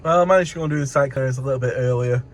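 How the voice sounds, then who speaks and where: A man's voice: medium pitch, very fast, soft background music. A man giving a casual, rambling vlog update as a courier driver, in colloquial everyday speech.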